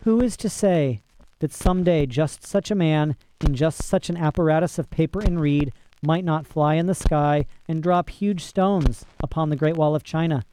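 Old storybook emperor, voiced by a male reader: grave, measured, regretful authority, warm dark timbre. Light background noise. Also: fairly high pitch, close to an everyday voice, poor audio. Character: solemn old emperor, regretful yet coldly commanding man.

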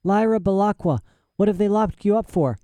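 A man voicing a scruffy, quick-witted young girl in a storybook, with informal, earnest and coaxing speech. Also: high voice, mellow, dry recording, studio quality, pitched above the performer's own voice, natural voice. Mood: guarded, curious. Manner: informal, coaxing, childlike phrasing.

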